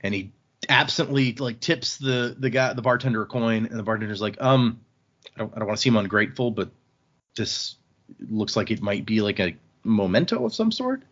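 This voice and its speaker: A man's voice: fairly low pitch, expressive, normal speed, a bit bright. Casual podcast guy, slightly muffled sound. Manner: conversational, swearing, acts out characters' dialogue casually. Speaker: podcast host recapping a novel. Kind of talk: casual book recap chat.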